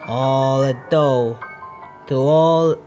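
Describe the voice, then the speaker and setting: A male streamer with reads a visual novel aloud at a medium pitch and adds his own commentary. He is halting, often restarting phrases, and faint music sits behind him. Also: mellow, lo-fi sound. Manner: halting reading with stumbles and self-corrections.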